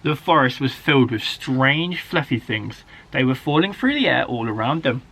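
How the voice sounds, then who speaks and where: A man, a primary school teacher, reading a children's story aloud in an expressive, dramatic way. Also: medium pitch, moderate pace, vocal fry, slight background noise, rough recording. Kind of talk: animated children's story narration.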